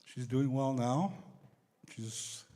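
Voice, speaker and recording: A man giving an unscripted public address at an assembly, speaking haltingly and repeating phrases, over a hall sound system. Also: mid-range voice, warm tone. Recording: echoey large hall over PA.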